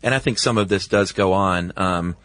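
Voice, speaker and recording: Low-voiced, laid-back American man. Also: normal speed, a bit bright, no echo. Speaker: podcast co-host. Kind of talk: casual conversational chat.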